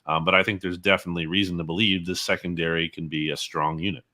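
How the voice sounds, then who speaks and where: A man speaking as a guest sports analyst in a football interview, measured and analytical. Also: deep voice, fairly even intonation, sharp tone.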